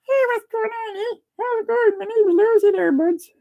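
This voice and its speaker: Give the little female pet a very high, squeaky falsetto done by a man with a Canadian accent. She is small, chatty and folksy, talking like a familiar buddy in a homemade livestream skit. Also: normal speed, gravelly, dry recording, narrow, tinny audio. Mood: chirpy, friendly.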